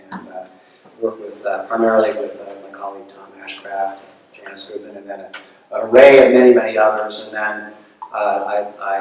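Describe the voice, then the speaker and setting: A man introduces himself on a panel in a halting way, with many uhs. His voice is low and flat. He is heard from a distance in a large hall with a long reverb. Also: slight background noise. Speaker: art school director, panelist. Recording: echoey hall from far off.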